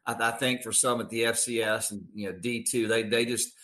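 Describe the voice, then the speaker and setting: Bright-voiced American man talking football. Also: lowish voice, fairly even intonation, a little fast. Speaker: radio play-by-play sports announcer. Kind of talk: conversational sports interview.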